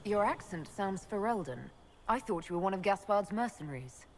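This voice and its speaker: A woman's voice: mid-range voice, expressive, moderate pace, a little hiss or hum, natural voice. A woman playing a composed, commanding heroine and leader in a fantasy video game, speaking with authority. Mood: calm, assured.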